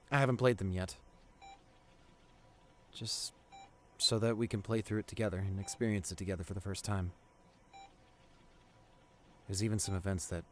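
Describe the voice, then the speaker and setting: A man playing a devoted, grieving boyfriend at a hospital bedside in an intimate ASMR roleplay, speaking tenderly and haltingly with emotion. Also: low voice, natural voice. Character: devoted, grieving boyfriend visiting his comatose partner in hospital.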